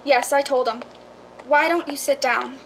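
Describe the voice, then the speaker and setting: Actress as a composed, high-voiced woman agent. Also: a little slow, a little hiss or hum, rough recording. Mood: calm, reassuring. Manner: calm, matter-of-fact, reassuring. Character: composed, practical female real estate agent. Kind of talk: radio drama acting.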